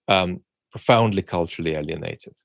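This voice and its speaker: Low gravelly guy thinking out loud, lots of ums and pauses, dry close sound. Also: dry recording. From Soviet Union. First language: Russian. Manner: measured, thinking aloud with many ums and pauses. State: unwell, speaking while cared for. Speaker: host answering viewer questions. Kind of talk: reflective solo chat.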